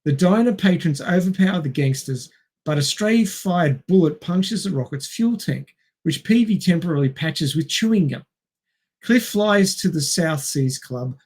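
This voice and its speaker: Casting: male film podcast co-host, voice a little high, recapping and chatting with a wry, dry wit. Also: normal speed, a little mellow. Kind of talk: reading a film plot summary with wry asides and casual chat.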